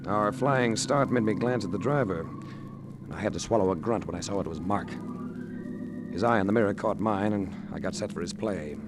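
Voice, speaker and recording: Actor as hardboiled low-voiced radio private eye. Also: male voice, normal speed, close to an everyday voice. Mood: tense, gritty. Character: tough, wry male private investigator narrating his adventure. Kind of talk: 1950s radio detective drama, hardboiled narration. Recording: noisy old 1950s radio recording.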